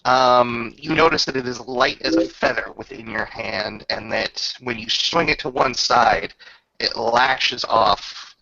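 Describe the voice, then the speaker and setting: A man with a gravelly voice acts as game master, casually narrating a tabletop roleplaying game with informal, descriptive talk. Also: medium pitch, unhurried, pushed and bright, no echo, muffled recording.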